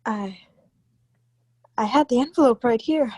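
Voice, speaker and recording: A woman acts out a proper, self-righteous jury forewoman in a radio drama. Her voice is fairly high and closely recorded with a dry sound, and her delivery is formal. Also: unhurried, darkish tone, no echo. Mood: flustered. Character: guilty, self-righteous society woman serving as jury foreman. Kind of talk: radio play acting.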